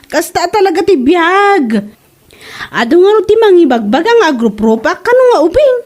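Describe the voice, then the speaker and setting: Woman doing a squeaky young mom in her twenties, voice jumping around, always going "what?". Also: very animated. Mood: cheerful. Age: 20s. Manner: bright and exclaiming, quick to protest. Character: young mother, cheerful daughter. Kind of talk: comedic audio drama acting.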